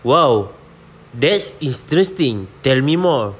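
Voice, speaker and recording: Slow-talking polite young tourist played by a man. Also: mid-range voice, animated, a bit bright, a little hiss or hum. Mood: polite, interested. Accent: Malaysian. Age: young adult. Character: polite, curious male tourist on vacation. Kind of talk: amateur student audio drama.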